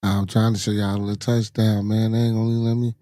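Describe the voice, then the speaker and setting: Hoarse, low-voiced man chatting casually. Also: flat delivery, quick pace, dark tone, no room sound, studio quality. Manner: loose slangy talk, muttering to himself while fumbling with screen sharing. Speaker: podcast host. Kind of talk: casual live-stream chat.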